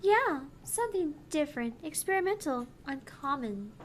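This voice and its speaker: Woman putting on a high-voiced playful young hacker woman. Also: very animated, normal speed, a little hiss or hum. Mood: playful, chatty. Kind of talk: visual novel voice-over read-aloud.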